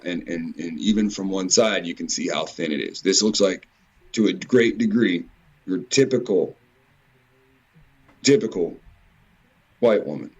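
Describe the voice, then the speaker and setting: A man giving informal, rambling commentary in a halting way, repeating words and swearing mildly now and then. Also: sits low. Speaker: video presenter. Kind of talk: informal rambling commentary over on-screen images.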